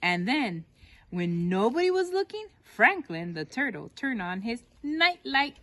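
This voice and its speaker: A woman reads a children's story aloud in an expressive, emphatic way. Her intonation is very animated, rising and falling often as she addresses young listeners. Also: a little high, a little slow, no room sound.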